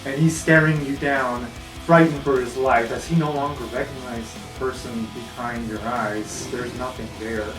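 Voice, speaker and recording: A man's voice: mid-range voice, steady tone, normal speed, distant mic. A man running a tabletop game as game master, improvising narration and giving rulings. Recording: echoey room, off mic, music underneath.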